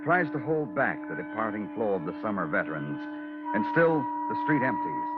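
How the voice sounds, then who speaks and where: Muffled old radio sound with loud music carries a male actor playing a brooding North American detective. He narrates his case in a low voice, solemn and poetic, like a man of the night streets. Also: natural voice. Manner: solemn, poetic, dramatic delivery. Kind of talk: 1950s radio crime drama narration.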